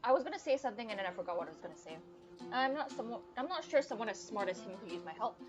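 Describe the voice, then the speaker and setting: A woman's voice: fairly high pitch, animated, racing. A woman streaming a game with casual live commentary, blunt and rambling, grumbling a little. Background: game music.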